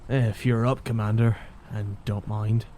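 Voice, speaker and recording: Man acting a slow, weary crewman. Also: lowish voice, level tone, mellow, slight background noise, lightly acted. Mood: sleepy. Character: weary, deferential airship crewman, slow-spoken. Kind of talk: audio drama acting.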